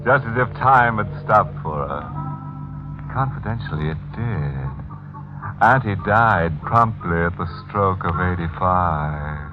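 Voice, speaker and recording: A man's voice: sits low, expressive, takes it slowly. A man hosting and narrating a 1940s radio mystery in a wry, macabre, theatrical way. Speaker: host and narrator. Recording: dull 1940s radio recording, music behind.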